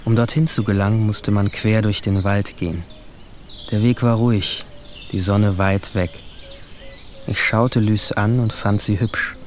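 A man's voice: lowish voice, fairly even intonation, a little slow, slight background noise, natural voice. An actor narrating as a withdrawn, brooding 17-year-old boy in a radio drama, subdued and introspective. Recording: music behind the voice.

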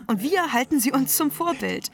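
A woman's voice: sits high, expressive, normal speed, vocal fry. A woman playing a defiant, sardonic young feminist activist delivering a polemical monologue in a radio play. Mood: scornful, impassioned.

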